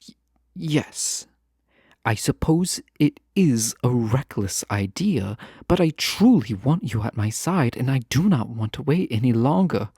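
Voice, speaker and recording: A man playing a lovesick, proud fantasy ruler in a romantic audio drama, speaking formally, earnestly and with hesitant pauses. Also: medium pitch, animated, warm tone, husky, dry recording, clean studio sound. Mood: earnest, tender. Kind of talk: scripted romantic audio roleplay, close and intimate.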